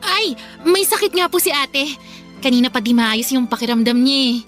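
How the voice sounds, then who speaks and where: A woman's voice: sits high, animated, normal speed, fairly bright tone, soft background music. A woman playing an anxious, talkative younger sister in a Filipino radio drama, earnest and easily startled. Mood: nervous, earnest.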